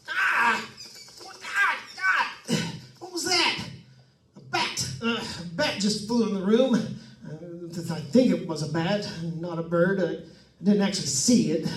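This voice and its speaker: A jittery ghost-hunt reporter acted by a man with a very high-pitched voice, halting and excitable. It is a radio suspense play, recorded in a roomy, echoing space. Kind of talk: old-time radio suspense drama acting. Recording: roomy, slightly distant stage pickup.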